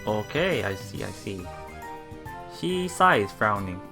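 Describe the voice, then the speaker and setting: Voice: medium pitch, sing-song, slow, vocal fry, over music. A let's player reading visual novel text aloud and musing casually on it as they go. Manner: musing, often thinking aloud.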